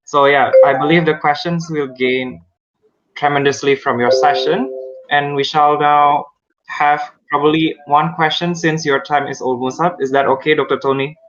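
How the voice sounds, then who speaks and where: A man's voice: a little high, steady tone, normal speed, background noise. A man moderating an online session, polite and formal as he reads out audience questions. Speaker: event moderator. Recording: muffled lo-fi video call.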